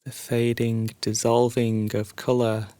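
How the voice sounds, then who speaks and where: A man guiding a sleep meditation as a narrated story, soothing and hushed, leaving long pauses between phrases. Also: medium pitch, monotone, no room sound.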